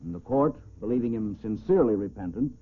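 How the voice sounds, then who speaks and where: A narrow, tinny old radio recording of an American police chief. His husky, mid-pitched voice tells the story in a grave, deliberate, dramatic style. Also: animated. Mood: grave, narrating. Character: grave male small-town police chief recounting a case. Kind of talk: 1940s radio dramatic narration.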